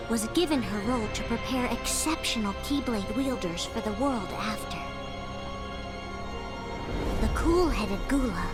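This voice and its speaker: A woman narrating a game's legend as a solemn, gentle storyteller with a measured, scripted delivery. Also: fairly high pitch, lightly acted.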